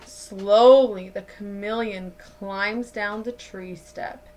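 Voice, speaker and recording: A woman in her thirties reading a picture book aloud to children like a teacher, with deliberate, dramatic emphasis. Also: mid-range voice, fairly even intonation, a little mellow, poor audio. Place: small indoor room at home.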